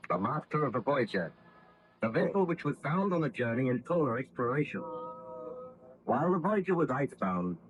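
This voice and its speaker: A man's voice: medium pitch, slight background noise, close to an everyday voice. A man narrating a scripted radio drama in a formal 1930s style. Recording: muffled vintage radio recording.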